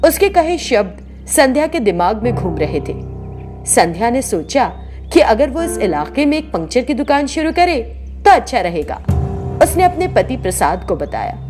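A woman's voice: fairly high pitch, sing-song, normal speed, over music. A woman reading a scripted story as narrator.

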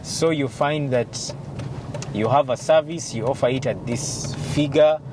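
A man in his forties with a Ugandan English accent, a law firm partner explaining things in a measured way with many fillers, interviewed in a moving car. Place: passenger seat of a car. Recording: loud car road noise. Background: engine and road rumble.

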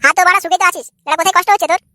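A man acts out a jealous, crude husband from a comedy cartoon. His voice is unnaturally high for a man and very fast, sneering and swearing sarcastically. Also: steady tone, pushed and bright, no room sound, exaggerated voice. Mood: sneering, accusing. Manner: foul-mouthed, sarcastic. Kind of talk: comic cartoon dialogue.

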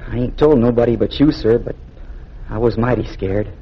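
An actor playing an earnest, humble young Union soldier with an American accent and folksy, hesitant speech in a 1940s-style radio drama. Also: male voice, medium pitch, normal speed, husky, close to an everyday voice. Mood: haunted, confiding. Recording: muffled old 1940s radio recording.

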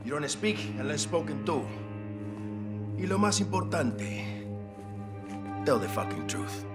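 A man's voice: medium pitch, big pitch swings, over loud music. A man playing a menacing, boastful Mexican cartel enforcer in a game, threatening in accented English and Spanish. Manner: slow, threatening, swings between quiet and loud. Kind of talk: video game villain acting.

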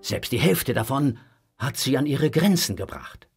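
A man's voice: mid-range voice, sing-song, unhurried, gravelly, faint music behind. A man narrating a children's audio story with dramatic, scripted storytelling.

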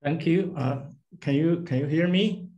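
A man's voice: on the high side, unhurried, darkish tone, lo-fi sound. A man who works as a research scientist, opening a presentation on a video call.

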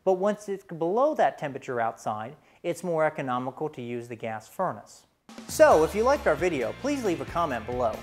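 A man's voice: a little high, very animated, a little fast, soft background music. A man in his thirties with an American accent presents a scripted explainer in a plain, instructional way. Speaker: presenter for a home heating and cooling company.

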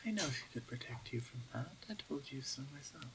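A woman voicing a gentle, protective older orc shaman in an ASMR roleplay, speaking softly and soothingly in breathy half-whispers. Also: rumbling low, lively intonation, a little fast, background noise, voice pushed down. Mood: calm, caring.

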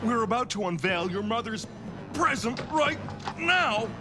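Pompous rich father, middle-aged, highish voice, swooping intonation, proud cartoon show-off. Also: big pitch swings, a little slow, music in the background, full character voice. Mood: showy. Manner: pompous, grandly declaiming. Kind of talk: animated children's cartoon acting.

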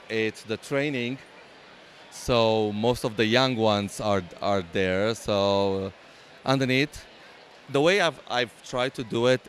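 Slow, low-voiced Romanian man, hesitant. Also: a little hiss or hum. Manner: hesitant, many fillers and restarts. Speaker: LEGO builder and exhibitor. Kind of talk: casual interview. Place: large convention hall.

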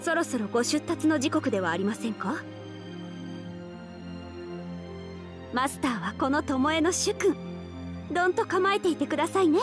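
A woman playing a devoted young half-oni samurai archer in a mobile game, speaking formal, reverent Japanese in a soft voice. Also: sits high, lively intonation, fairly quick. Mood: attentive, polite. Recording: studio voice over game music.

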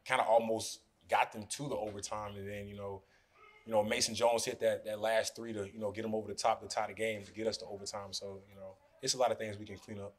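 A man's voice: fairly low pitch, quick pace. A young man in his twenties, a basketball player answering questions at a postgame press conference, speaking loosely with many "you know"s into podium mics. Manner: relaxed, fills with "you know" often. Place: press room in front of a sponsor backdrop. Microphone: podium mics in front of him.

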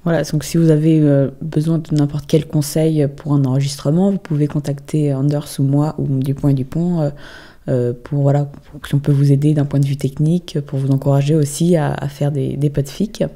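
Woman presenter, quite low voice, really dark n mellow, casual chatty hosting. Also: little pitch movement, moderate pace. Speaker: host of a fanfiction channel.

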